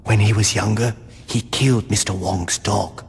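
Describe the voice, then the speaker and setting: A man playing a courteous, dignified older crime boss with formal, measured wording in a video game. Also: fairly low pitch, fairly even intonation, vocal fry, slightly rough, heavily acted. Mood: grave. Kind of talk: video game cutscene acting. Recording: noisy, long echoing hall.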